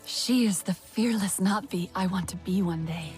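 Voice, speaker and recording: A woman's voice: mid-range voice, normal speed, a little mellow, soft background music, natural voice. An actress playing an earnest young female alien heroine in a video game cutscene.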